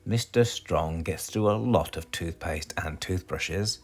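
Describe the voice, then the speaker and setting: A man's voice: sits low, unhurried, soft background music. A man with a British accent reads a children's storybook aloud dramatically, putting questions to his young listeners. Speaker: storybook reader.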